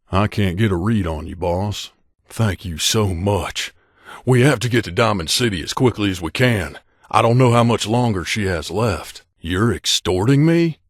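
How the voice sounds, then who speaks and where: A man's voice: lowish voice, dry recording, clean studio sound. A man playing an earnest, guarded wasteland drifter and loyal companion in a video game, speaking conversationally. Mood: reflective, then desperate, pleading. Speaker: voice actor.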